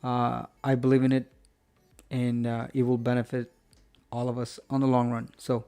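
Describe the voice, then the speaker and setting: A man's voice: mid-range voice, completely flat delivery, normal speed, mellow, clean tone. A man hosting a live stream from home, wrapping up the show in a rambling, friendly chat full of fillers and restarts. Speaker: host.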